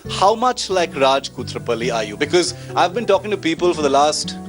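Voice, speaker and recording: A man's voice: fairly high pitch, sing-song, brisk, soft background music. A man hosting a television interview, asking effusive, rambling questions. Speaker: interviewer, TV host.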